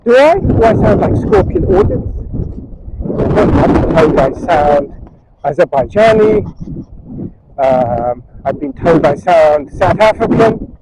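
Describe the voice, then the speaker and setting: Travel vlogger, man, slightly high voice, chatty and wandering, chest-cam audio with heavy wind noise. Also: big pitch swings, takes it slowly, pauses often. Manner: chatty, wandering asides, talks to viewers. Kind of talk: rambling walking vlog chat. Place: outdoors on a windy beach. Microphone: chest-strapped action camera mic. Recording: wind noise on a body camera mic.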